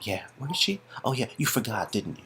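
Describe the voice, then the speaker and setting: A man with a husky voice narrating a story game and improvising dry, halting, sarcastic asides. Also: fairly low pitch, big pitch swings, fairly bright tone, a little hiss or hum. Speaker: narrator and reader.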